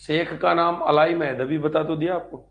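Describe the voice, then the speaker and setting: Male history teacher, slightly high voice, explanatory lecture delivery with repeated phrases and quick check questions to students. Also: fairly even intonation, lo-fi sound. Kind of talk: exam-prep lecture, repeating lines slowly as if dictating.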